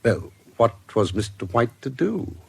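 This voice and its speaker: Low, husky British shrewd, composed investigator. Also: male voice, big pitch swings, exaggerated voice. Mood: methodical, grave. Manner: formal, measured, deliberate storytelling. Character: shrewd, composed male investigator recounting a case, precise and discreet. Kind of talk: British radio drama acting.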